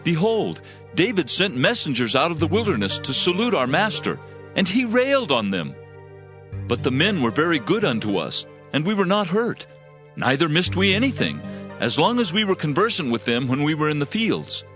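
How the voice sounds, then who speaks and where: A young servant in an audio Bible drama, earnest and worried. His high voice swings up and down widely as he pleads with urgency. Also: big pitch swings, normal speed, fairly bright tone, soft background music. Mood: urgent, worried. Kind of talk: dramatized audio Bible acting in archaic English.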